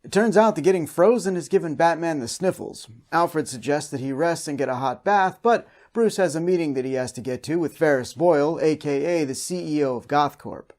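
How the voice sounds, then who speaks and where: A man's voice: a little high, animated, brisk, few pauses, darkish tone. A man hosting a recap and review show, delivering scripted comedic narration.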